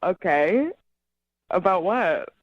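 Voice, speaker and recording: A young woman talks candidly on the phone to a radio show, her pitch swinging in a lively way. She is casual and conversational. The call sounds slightly muffled and dry. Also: slow, dry recording. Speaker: person called by radio show.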